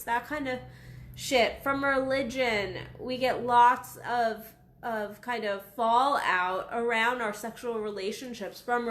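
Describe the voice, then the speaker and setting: A woman in her thirties with a fairly high voice that sounds raspy from being unwell. She talks frankly and casually, swears freely, and comes across as blunt. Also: a bit bright, reverberant. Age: 30s. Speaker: sex coach. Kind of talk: casual talk to camera. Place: small waiting room at her office.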